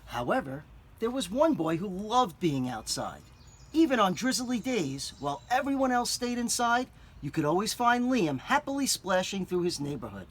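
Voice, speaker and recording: Man, children's read-aloud, gentle explainer, breathy, lively pitch. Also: normal speed. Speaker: teacher reading aloud.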